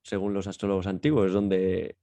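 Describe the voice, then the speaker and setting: A man's voice: low voice, flat delivery, a little fast, dark tone, dry recording. A man co-hosting a podcast, chatting casually and explaining things, repeating and rephrasing as he goes. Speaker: podcast co-host. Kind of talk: casual conversational podcast talk.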